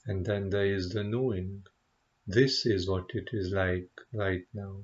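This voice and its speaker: A man with a fairly low voice guides a meditation at a very slow pace. He is gentle and contemplative, leaving space between short phrases. The recording is slightly dull and muffled. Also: fairly even intonation. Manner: gentle, unhurried, contemplative, with pauses. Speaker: meditation teacher, Buddhist monk.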